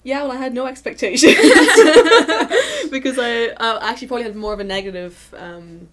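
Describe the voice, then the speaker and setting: A woman's voice: a little high, fairly even intonation, fast talker, crowd noise. A young woman chatting casually as a student host, thinking aloud and hedging as she goes. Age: young adult. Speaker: student host asking questions. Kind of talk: casual chat.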